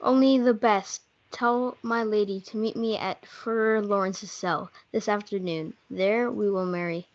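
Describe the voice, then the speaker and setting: A woman's voice: mid-range voice, steady tone, measured pace, hardly any pauses, lightly acted. A young woman reading an earnest, lovestruck young man in amateur reader's theatre. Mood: polite. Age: teenager. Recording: dull lo-fi audio.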